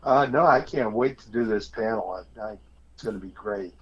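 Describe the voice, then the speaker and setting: A man's voice: lowish voice, moderate pace, fairly bright tone, slightly rough, highs cut off. A man guest on a radio talk show, a film panelist, answering in short enthusiastic bursts. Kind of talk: casual radio interview.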